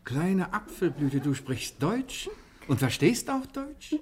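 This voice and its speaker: A man's voice: fairly high pitch, big pitch swings, slow, a little mellow. A man playing a friendly, roguish sailor in a television drama, speaking in simple, coaxing phrases with hesitant searches for words. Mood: jovial, surprised. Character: friendly, roguish male sailor on a secret errand. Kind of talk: German television drama dialogue.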